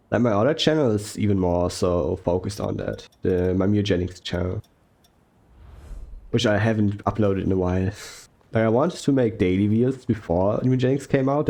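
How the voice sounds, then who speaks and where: Young male gaming commentator, mellow tone, slow soft-spoken rambling delivery. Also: fairly low pitch. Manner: rambling with fillers and self-corrections. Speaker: gaming YouTuber. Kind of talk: relaxed gameplay commentary.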